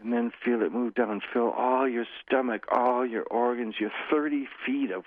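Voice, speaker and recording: A man in his sixties, a guest author speaking calmly and leading a slow guided meditation. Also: mid-range voice, no echo. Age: 60s. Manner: slow, soothing guiding voice. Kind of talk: guided meditation and interview talk. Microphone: phone call. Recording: tinny phone line.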